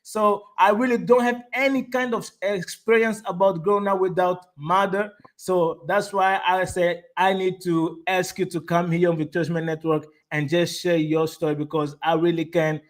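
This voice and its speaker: High-voiced host with halting, excitable delivery. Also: male voice. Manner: enthusiastic, repeats words, halting restarts. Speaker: host of a live online show. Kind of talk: casual interview.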